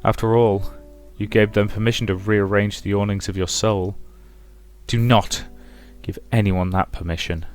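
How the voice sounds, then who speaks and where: A man's voice: fairly low pitch, fairly even intonation, faint music behind, lightly acted. Man voicing a menacing, domineering, bitter mother. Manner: commanding, deliberate, intense. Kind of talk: visual novel character voicing.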